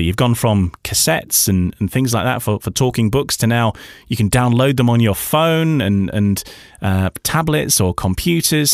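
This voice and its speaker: Lowish-voiced man hosting a radio interview. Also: no room sound, clean studio sound.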